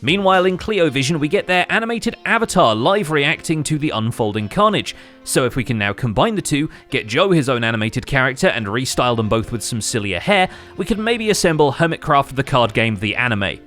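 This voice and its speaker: A man's voice: mid-range voice, very animated, brisk, a bit bright, soft background music. A man hosting a gaming recap show, reading scripted narration in a wry manner.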